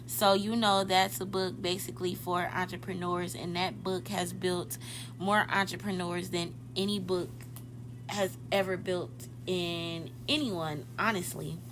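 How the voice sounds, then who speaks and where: A woman's voice: fairly low pitch, fairly even intonation, measured pace, background noise. A woman in her twenties hosting a podcast, chatting casually and directly to her listeners, often correcting herself mid-thought. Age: 20s. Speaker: podcast host. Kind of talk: casual motivational podcast talk.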